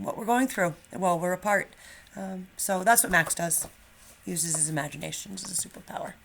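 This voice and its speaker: A woman's voice: on the low side, gravelly. A middle-aged woman speaking as a teacher to young pupils, informal and encouraging, in a children's read-aloud style. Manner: informal, encouraging, slightly nervous. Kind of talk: casual chat and expressive children's storybook read-aloud.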